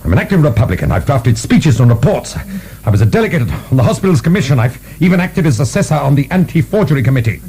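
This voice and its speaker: A man's voice: medium pitch, sing-song, fast, dark tone, slight background noise. A man acting in a radio drama as a proud, defensive middle-aged prisoner of the French Revolution, emphatic and formal. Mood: indignant.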